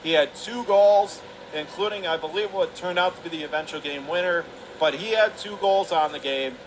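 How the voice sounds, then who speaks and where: A man's voice: high voice, normal speed. A man calling live radio play-by-play of an ice hockey game from the arena. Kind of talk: live radio sports commentary. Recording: clear arena noise behind the voice.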